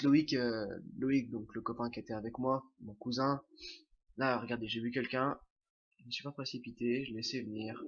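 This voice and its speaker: A man's voice: medium pitch, highs cut off. Young French man with a cold. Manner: hesitant, many fillers and restarts. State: has a cold, sniffling. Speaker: gameplay commentator. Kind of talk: casual game commentary.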